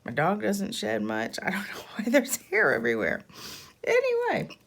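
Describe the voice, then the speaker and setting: A woman's voice: medium pitch, huge pitch swings, normal speed, fairly bright tone. A middle-aged woman with a froggy voice, chatting casually through a voiceover and rambling with self-deprecating chuckles. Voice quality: froggy, gravelly voice. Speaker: planner vlogger. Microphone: voiceover mic.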